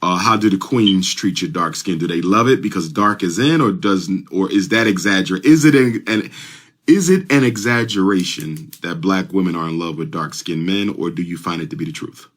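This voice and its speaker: Male call-in host, low voice, encouraging and repeating callers' answers. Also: lively intonation, moderate pace, fairly bright tone. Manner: affirming, repeats "yes, sir" and "my brother". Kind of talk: live call-in show.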